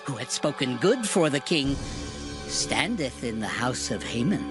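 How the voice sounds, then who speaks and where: A grave royal chamberlain speaks in a low, slow, solemn voice, put on by a woman narrator. Music plays clearly behind the dramatized reading. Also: very animated, full character voice.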